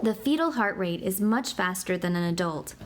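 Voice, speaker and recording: A woman narrating an interactive children's book in a clear, explanatory way, slowing down to spell out medical terms. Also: fairly low pitch, animated. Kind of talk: scripted read-aloud narration for kids. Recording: clear background noise.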